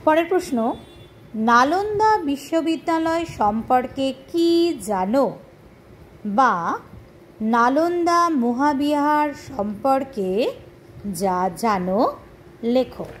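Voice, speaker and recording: A woman dictates a question-and-answer lesson to schoolchildren in a deliberate, measured teaching manner. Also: sits high, big pitch swings, slight background noise, rough recording. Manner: deliberate, spelling out punctuation as dictation. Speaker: history tutor for schoolchildren.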